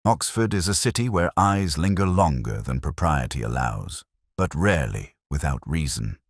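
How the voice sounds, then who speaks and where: A man narrating a first-person detective story in a measured, solemn, literary manner. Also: very deep voice, very animated, no echo, studio quality.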